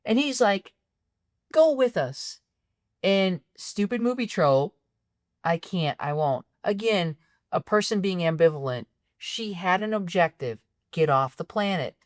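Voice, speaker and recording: A woman co-hosting a film podcast, ranting in exasperation about a movie's plot holes, her voice going a bit raspy. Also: lowish voice, measured pace, dry recording, clean studio sound. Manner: exasperated, ranting, animated complaints. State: voice getting raspy. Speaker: podcast co-host. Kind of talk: casual film critique chat.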